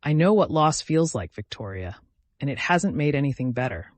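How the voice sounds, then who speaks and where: A man's voice: medium pitch, sing-song, moderate pace, dry recording, lightly acted. A man voicing an earnest woman lawyer in an audiobook, remorseful but resolute, reasoning and pleading. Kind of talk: dramatic audiobook character reading.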